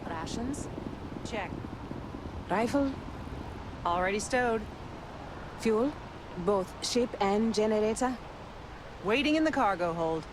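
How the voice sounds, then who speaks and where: A woman's voice: medium pitch, expressive, slow pace, long pauses. An actress playing a practical woman colonist in a video game. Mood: brisk, checking. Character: practical female colonist readying for departure. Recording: noisy game ambience.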